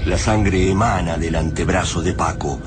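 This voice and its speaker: A man's voice: sits low, moderate pace, lightly acted. A man narrating a radio drama in a grave, literary manner. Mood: grave, ominous. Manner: solemn, literary. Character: grave male narrator of a dark science-fiction tale. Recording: music bed behind the voice.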